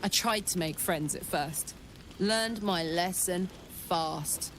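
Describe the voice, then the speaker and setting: A woman playing a hearty, blunt, warm-hearted fighter in a video game, speaking in an earthy, heartfelt way. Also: fairly low pitch, slow pace, a little hiss or hum. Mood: wistful, friendly. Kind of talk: video game character acting.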